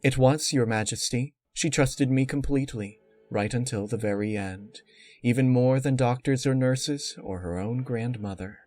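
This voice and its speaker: Young unicorn mare acted by a man: raised pitch, earnest and polite, coolly calculating, dark storybook villainy. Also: normal speed, soft background music, natural voice. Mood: proud, deferential.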